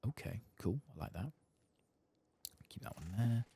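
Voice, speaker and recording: A soft-spoken man giving low-key ASMR commentary as he plays a game, muttering to himself. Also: expressive, measured pace, slightly rough. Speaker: gaming video host.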